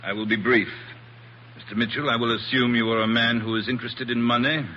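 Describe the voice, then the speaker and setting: Sharp-edged, formal businessman played by an actor, old radio. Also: mid-range voice, little pitch movement, a little fast, lo-fi sound, natural voice. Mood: formal, brisk. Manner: clipped, stiffly polite, formal wording. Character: formal, businesslike male teakwood plantation owner, coolly insistent. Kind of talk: 1940s radio acting. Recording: noisy old 1940s radio recording.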